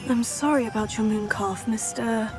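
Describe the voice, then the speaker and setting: An actress playing a composed teenage witch student in a video game, speaking in a polite, measured way. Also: fairly high pitch, fairly even intonation, music in the background, close to an everyday voice. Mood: sympathetic. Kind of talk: video game character acting.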